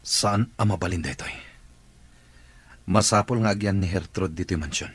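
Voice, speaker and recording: Deep, husky mansion master. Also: male voice, moderate pace. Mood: protective, firm. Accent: Ilocano. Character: kindly, protective male master of a mansion. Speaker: radio drama voice actor. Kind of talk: Ilocano radio drama acting.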